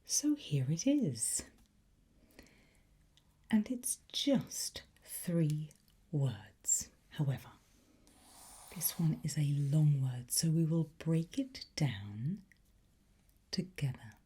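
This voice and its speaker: A woman doing a whispered ASMR teacher roleplay, softly and deliberately drawing out and enunciating her words. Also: sits low, sing-song. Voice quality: breathy, soft whisper. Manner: slow, deliberate, drawn-out enunciation. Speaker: ASMR roleplay host as a teacher of demonic Latin incantations.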